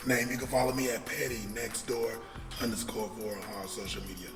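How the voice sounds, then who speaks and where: A man with a raspy voice co-hosting a casual podcast. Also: medium pitch, a little fast, over loud music. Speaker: podcast co-host.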